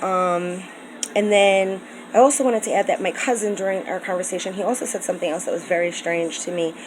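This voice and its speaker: A woman's voice: fairly low pitch, steady tone, a little fast, noisy background. A woman from the United States talking in an earnest, rambling way with many ums and restarts in a personal vlog. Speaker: vlogger. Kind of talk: casual personal vlog talk.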